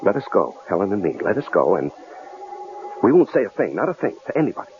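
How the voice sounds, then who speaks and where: An actor playing a nervous, pleading husband held captive, speaking hesitantly with stammers, in vintage radio drama style. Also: male voice, fairly low pitch, very animated, fast talker, narrow, tinny audio. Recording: noisy, band-limited old radio broadcast.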